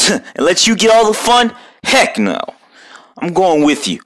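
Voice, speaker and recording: Guy putting on a loud gruff new york turtle, hot-headed, pitch jumps all over. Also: huge pitch swings, a little slow, dry recording, exaggerated voice. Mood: loud, brash. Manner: gruff, tough-talking, loud. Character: brash hot-headed young male turtle ninja. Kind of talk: fan voice acting of a cartoon.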